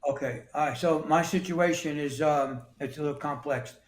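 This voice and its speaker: A man's voice: a little high, moderate pace, reverberant. A man in his sixties from New York City, talking as a podcast guest in a plain-spoken way that repeats words and restarts. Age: 60s. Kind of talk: casual interview talk.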